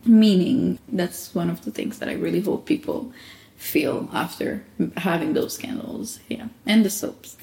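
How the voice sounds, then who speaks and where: A woman from Angola talks casually about her candle and soap shop, laughing often as she goes. Her voice sits a little low and sounds mellow. The recording carries a roomy echo. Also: a little slow, creaky, a little hiss or hum. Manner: warm laughing, chatty with self-quoting. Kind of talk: casual interview answers.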